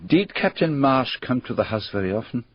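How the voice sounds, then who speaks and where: An actor playing a shrewd, courteous, playful foreign detective in a radio drama, with polite, formal phrasing. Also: male voice, mid-range voice, very animated, brisk, breathy edge. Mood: probing, courteous. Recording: dull lo-fi radio drama audio.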